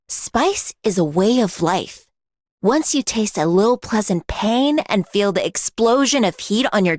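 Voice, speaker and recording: A woman's voice: a little high, dry recording, studio quality. A woman playing a cocky, brash, ageless blacksmith warrior in a video game, with a slangy, blunt, swaggering way of talking. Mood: brash, opinionated. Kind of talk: video game character voice lines.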